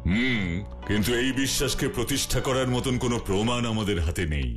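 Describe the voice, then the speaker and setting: A man's voice: medium pitch, fast, over loud music, natural voice. A man voicing a stern, sober strongman hero in a cartoon, speaking firmly. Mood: serious. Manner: firm, scolding, sober. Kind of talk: Bengali cartoon dubbing.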